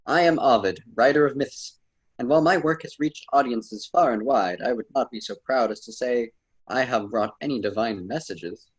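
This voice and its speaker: Guy doing a lofty old-world poet guide, bit husky, formal and stagey, radio drama. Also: medium pitch, normal speed, lightly acted, poor audio. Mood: amused, admonishing. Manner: formal, theatrical.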